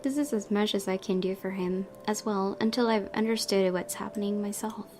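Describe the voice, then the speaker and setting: A woman's voice: mid-range voice, fairly even intonation, normal speed, background noise. A young woman hosting a gaming video, reading story narration aloud and breaking into casual commentary.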